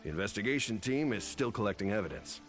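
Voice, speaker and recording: Stiff official investigator, kinda low voice, matter-of-fact, game music behind. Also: male voice, animated, fast, fairly bright tone. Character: formal male investigator, brisk and official. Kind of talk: game voice acting.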